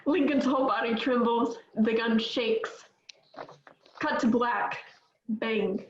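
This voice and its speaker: A woman reading stage directions aloud at a table read, steady and plain, with small slips. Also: on the high side, takes it slowly, slight background noise. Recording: echoey, dull lo-fi audio.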